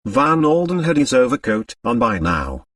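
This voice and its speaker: Animated male audiobook narrator. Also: mid-range voice, normal speed, no echo, clean studio sound, recorded from a distance. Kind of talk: scripted dramatic narration of a novel.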